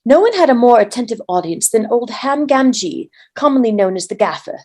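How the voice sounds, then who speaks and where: A woman's voice: on the high side, big pitch swings, a little fast, darkish tone. A woman volunteer reading a story aloud as narrator.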